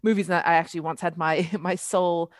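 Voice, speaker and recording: A woman's voice: fairly low pitch, steady tone, fairly quick, no echo, studio quality. A middle-aged British woman, chatty and articulate, hosting a casual discussion about culture. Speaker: podcast host, columnist and historian.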